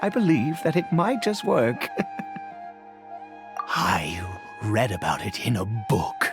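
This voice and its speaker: A man voicing a weary, centuries-old cursed nobleman and father in a video game, speaking formally and haltingly, with grief. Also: medium pitch, wildly swooping pitch. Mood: earnest, confiding. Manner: halting, hesitant, sighing, formal wording. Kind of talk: dramatic video game voice acting. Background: background music.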